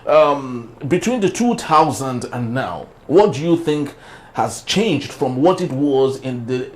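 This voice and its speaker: A man's voice: mid-range voice, expressive, takes it slowly. A man with a Nigerian accent hosting a TV panel interview as its presenter.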